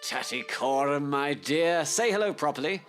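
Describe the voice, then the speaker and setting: Man playing a hearty, jovial Victorian father, animated. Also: on the high side, moderate pace, over music, close to an everyday voice. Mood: soothing. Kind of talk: audio drama acting.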